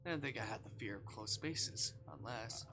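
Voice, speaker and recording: A man's voice: mid-range voice, moderate pace, background noise. A man livestreaming a visual novel, reading it aloud theatrically and reacting as he goes. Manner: theatrical, swings from hushed narration to shouting.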